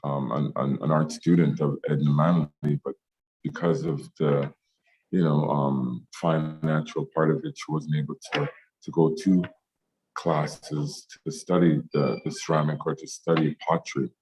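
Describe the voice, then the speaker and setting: Very deep-voiced halting man. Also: flat delivery, darkish tone, low-quality recording. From Jamaica. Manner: halting, many fillers and restarts. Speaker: ceramic artist. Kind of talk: online meetup interview.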